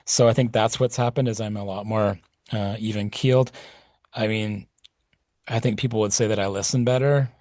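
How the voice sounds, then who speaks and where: A man's voice: on the low side, fairly even intonation, moderate pace, a little mellow, no echo, clean studio sound. A middle-aged man speaking as a podcast guest, reflective and rambling with plenty of fillers. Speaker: podcast guest, punk musician. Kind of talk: casual interview talk.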